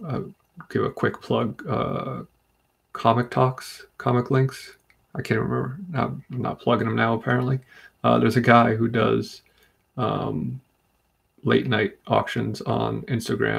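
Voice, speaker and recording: A man from Rhode Island hosting a live comic book stream, chatting calmly and steadily while reading comments. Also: medium pitch, vocal fry, breathy edge. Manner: calm, steady, unhurried. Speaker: livestream host.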